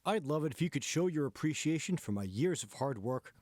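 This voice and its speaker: A man's voice: on the high side, animated, a little fast, darkish tone, no room sound. Man narrating an audiobook, close dry sound. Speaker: author reading own audiobook.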